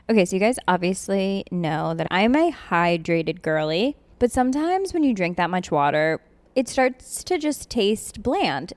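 A woman's voice: mid-range voice, no room sound. Chatty woman doing a casual ad read. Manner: bubbly, chatty. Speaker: podcast host reading an ad.

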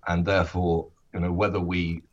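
A British man speaking as a politician in an interview, making his case in an earnest, measured way with hesitant phrasing. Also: sits low, little pitch movement, lo-fi sound.

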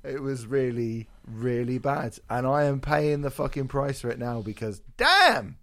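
A man hosting a casual music podcast, jokey, sweary and self-deprecating, his voice a little hoarse from a sore throat. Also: mid-range voice, sing-song, moderate pace, fairly bright tone. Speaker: podcast host.

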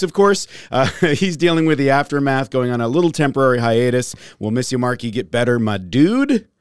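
Guy hosting a health podcast, hoarse from a cold, chatty and jokey, dry room. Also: mid-range voice, no echo. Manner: upbeat, joking, prone to rants. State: raspy from a cold. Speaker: podcast host, pharmacist. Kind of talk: casual podcast chat. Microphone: studio mic.

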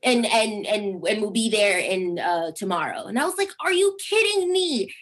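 Young woman, squeaky voice, animated storyteller, video call chat. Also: normal speed, husky. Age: young adult. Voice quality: squeaky speaking voice. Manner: animated storytelling, acts out quotes with big pitch jumps. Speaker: singer guest. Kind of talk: casual interview chat.

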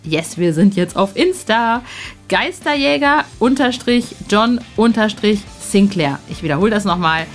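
A woman in her forties hosting a podcast, lively, enthusiastic and friendly in casual chat. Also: fairly high pitch. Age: 40s. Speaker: podcast host.

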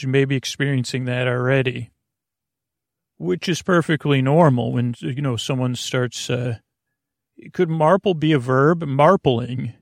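A man's voice: mid-range voice, gravelly, no echo, clean studio sound. A man hosting a sleep podcast, talking his way through a rambling, tangent-filled intro with lots of fillers and restarts, and playing with words along the way. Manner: halting, tangent-prone, many fillers and restarts; playful, muses on words.

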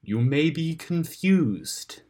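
A man's voice: fairly high pitch, sing-song, extremely slow, mellow, pitched above the performer's own voice, full character voice. A man voicing a grieving bear clan leader in a storybook, speaking in a formal, declamatory manner. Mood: grave, resolute.

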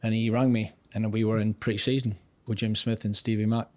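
A man's voice: on the low side, level tone, brisk, darkish tone, dry recording. An older man from north-east England, a podcast guest telling long, rambling stories about his coaching days in a chatty interview style. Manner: chatty, rambling, restarts sentences, acts out quotes. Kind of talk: casual anecdotal storytelling.

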